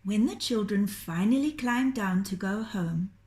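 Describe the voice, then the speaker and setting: Slow, lively woman telling a children's story. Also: medium pitch. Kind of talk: children's storytelling, scripted narration.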